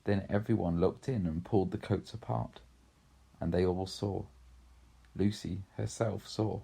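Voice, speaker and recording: A man reads a children's story. His voice is low and mellow, with a gravelly creak. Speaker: story reader.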